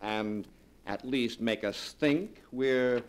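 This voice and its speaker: An actor playing a scheming nephew in a 1940s radio drama, speaking in a suave, mocking way. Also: male voice, medium pitch, slow, lightly acted. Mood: sardonic. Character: scheming nephew, smooth and sardonic. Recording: old radio broadcast recording.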